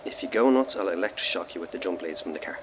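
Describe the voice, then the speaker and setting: An actor playing a wry, breezy, teasing Irish boyfriend phoning home in a naturalistic audio drama. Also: male voice, deep voice, lively intonation, quick pace. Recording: muffled, noisy call from car.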